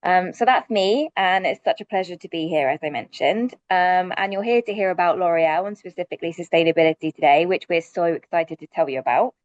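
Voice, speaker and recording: A woman's voice: on the low side, brisk, hardly any pauses, no echo, lo-fi sound. A woman with a London accent, a sustainability director cheerfully introducing herself on a video call webinar, with frequent ums. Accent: London English. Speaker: sustainability director, panel moderator.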